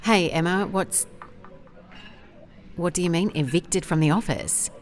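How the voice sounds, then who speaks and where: An actress playing a driven, awkward private investigator in an audio drama, speaking in a formal, wordy, dryly self-deprecating way. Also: female voice, fairly low pitch, measured pace, lightly acted. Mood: flustered, exasperated.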